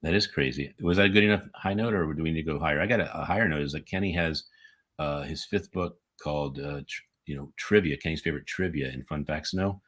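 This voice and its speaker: A rambling American man with a very deep, husky voice. He chats and jokes casually as a co-host and often corrects himself mid-sentence. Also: fast, a bit bright. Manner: rambling, jokey, self-correcting. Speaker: podcast co-host. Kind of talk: casual chat.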